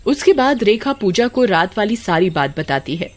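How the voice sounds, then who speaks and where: A woman's voice: mid-range voice, sing-song, normal speed. A woman narrating a scripted horror story in a dramatic storytelling style.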